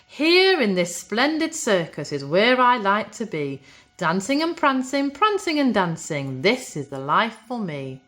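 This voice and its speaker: A woman's voice: mid-range voice, sing-song, a little slow, a bit bright. Woman as a singsong, dreamy toy rocking horse. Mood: contented. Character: wistful male toy rocking horse, easily contented, dreamy.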